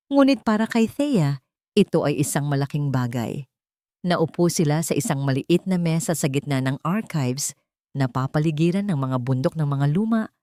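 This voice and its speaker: A woman's voice: low voice, dark tone, no room sound, clean studio sound. A woman narrating a scripted audio drama in a dramatic, carefully phrased storytelling manner.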